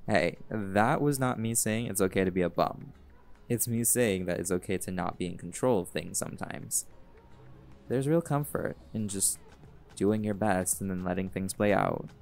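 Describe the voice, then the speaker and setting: Guy doing a chill flirty jaguar shifter dude, kinda low and gravelly, easygoing, soft music under it. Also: normal speed. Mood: laid-back. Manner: easygoing, teasing, relaxed drawl with laughs. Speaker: voice actor in audio roleplay. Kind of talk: audio roleplay, speaking to listener.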